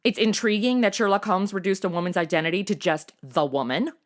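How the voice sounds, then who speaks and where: A woman's voice: medium pitch, brisk, close to an everyday voice. A woman playing a chatty, cheeky, jittery modern young woman in a comedy audio drama, slangy and over-caffeinated.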